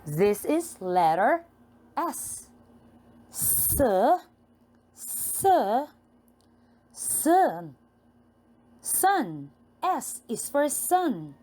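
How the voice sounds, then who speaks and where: A woman with a Filipino accent teaching phonics to young children, enunciating letters and words in a deliberate, repetitive, sing-song way. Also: fairly high pitch, sing-song, a little mellow.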